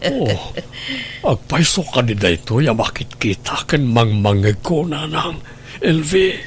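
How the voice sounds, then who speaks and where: A man's voice: mid-range voice, very animated, measured pace, a little hiss or hum. A man playing a tender, emotional husband and father in a radio drama. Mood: tender, moved. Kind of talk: Ilocano radio drama acting.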